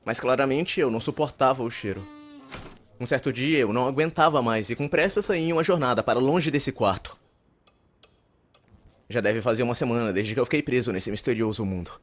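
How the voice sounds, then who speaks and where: A man's voice: mid-range voice, brisk, close to an everyday voice. A young man with a Brazilian accent playing a neurotic, wordy university shut-in narrating his inner monologue in a dubbed anime. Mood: anxious, weary. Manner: wordy, pompous, theatrical.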